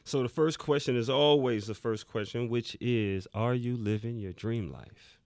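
A man's voice: medium pitch, unhurried, a little mellow, no echo, studio quality. A man hosting a radio interview show, chatting casually and hyping up his listeners with slang. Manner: hypes the audience with slang.

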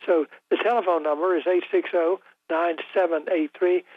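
An older man hosting a call-in radio talk show, talking in a halting way with many fillers and restarts, his voice a little rough. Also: sits high, steady tone, dry recording. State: voice slightly rough after illness. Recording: dry, tinny broadcast audio.

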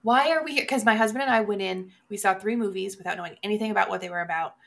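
Creaky-voiced woman in casual film chat. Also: medium pitch, fairly even intonation, racing, fairly bright tone. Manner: emphatic, opinionated, sweary. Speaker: podcast co-host.

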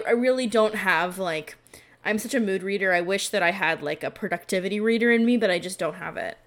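A woman hosting a podcast in casual, bookish chat, talking in a rambling, self-deprecating way and often saying "like.". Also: medium pitch. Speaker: podcast host; library professional.

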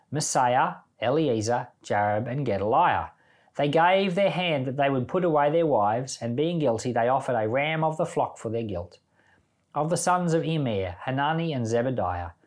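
A man reading scripture aloud for a Bible podcast in a measured, deliberate way, as a pastor would. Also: mid-range voice.

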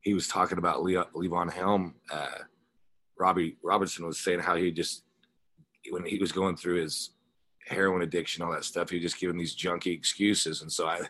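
Low-voiced man telling casual stories. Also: little pitch movement, normal speed, pushed and bright, no echo. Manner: informal, rambling storyteller. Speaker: musician interview guest, rock singer and guitarist. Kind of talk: casual chat, telling anecdotes.

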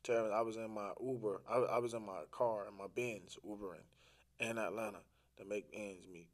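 A man's voice: fairly low pitch, steady tone, a little fast. A man talking casually as a podcast guest, telling a personal story in informal, slangy, sometimes profane language, acting out quoted dialogue and repeating phrases for emphasis.

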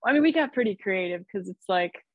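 A woman's voice: medium pitch, lively intonation, brisk, dry recording, narrow, tinny audio. A woman from Idaho, a podcast guest, chatting in an upbeat way and laughing often. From Idaho, USA. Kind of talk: casual conversation.

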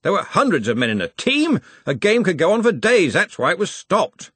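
A man reading an audiobook, voicing a blustering, stubborn old male wizard chancellor with a husky voice. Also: high-pitched, huge pitch swings, normal speed, fairly bright tone, no room sound, voice pushed up, heavily acted.

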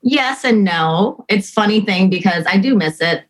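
A woman's voice: medium pitch, expressive, unhurried. An American woman from Atlanta talking casually as an interview guest. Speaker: band lead guitarist, interview guest. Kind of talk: casual chat.

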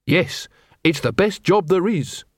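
A man's voice: sits high, expressive, a little slow, dry recording, clean studio sound, voice pushed up. A man doing an earnest naive young watchman. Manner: eager, guileless and literal.

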